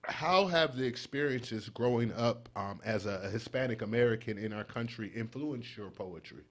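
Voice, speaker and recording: A mid-pitched male host runs a casual studio interview in an upbeat way. He keeps encouraging his guest with repeated affirmations. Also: moderate pace. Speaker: talk show host. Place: TV talk studio.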